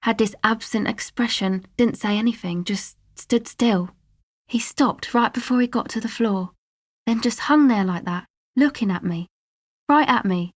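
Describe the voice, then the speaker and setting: A woman's voice: on the high side, measured pace, no echo, clean studio sound. An actress voicing a laid-back, guarded young woman from a dance music crowd, speaking casual, slangy video game dialogue with an offhand manner. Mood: matter-of-fact. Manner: offhand, slangy, hesitant asides.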